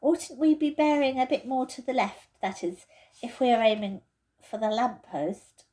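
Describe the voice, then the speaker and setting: A woman's voice: a little high, steady tone, normal speed, dark tone, pitched above the performer's own voice, lightly acted. A woman voicing a peevish schoolboy, a younger brother, complaining and urgent in a storybook reading. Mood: suggesting.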